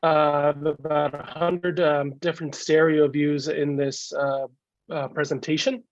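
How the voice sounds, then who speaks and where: A man with a cold and a gravelly voice giving a casual slideshow talk as a guest speaker, halting with many fillers, over a video call. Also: a little high, level tone, dry recording. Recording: dull lo-fi video call audio.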